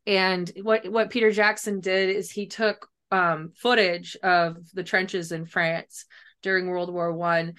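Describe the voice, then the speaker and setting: A woman's voice: on the low side, level tone, takes it slowly, fairly bright tone, clean tone. A woman talking casually as a guest author in an interview, chatty and loose, often saying like and kinda. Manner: chatty, hedging, frequent "like" and "kinda".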